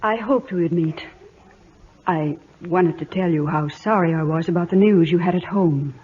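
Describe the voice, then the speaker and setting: A woman's voice: lowish voice, normal speed, lightly acted. Woman playing a gracious, wistful noble lady. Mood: tender, wistful. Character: gracious, tender English noblewoman, restrained and wistful. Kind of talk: 1950s radio drama acting. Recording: dull, noisy old radio recording.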